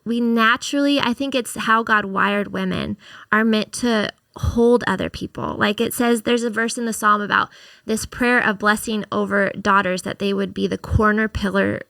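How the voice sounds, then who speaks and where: A gentle-voiced woman talks in a relaxed podcast conversation, with a gravelly texture and a bright, crisp tone. The sound is dry and close. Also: mid-range voice, normal speed, dry recording. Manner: gentle, reflective, speaks of women's experience. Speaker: podcast co-host and author. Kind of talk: casual faith-based podcast conversation.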